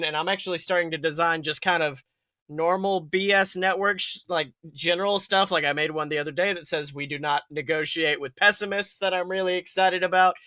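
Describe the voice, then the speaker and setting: A man hosting a podcast with playful, excitable comic chat and story reading. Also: high voice, dry recording. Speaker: podcast host.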